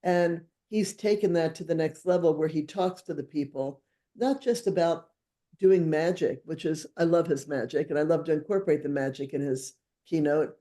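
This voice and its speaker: A man's voice: a little high, moderate pace, dark tone. A man co-hosting a conversation, speaking earnestly and supportively about a guest. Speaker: co-host, event booker. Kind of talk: casual conversation.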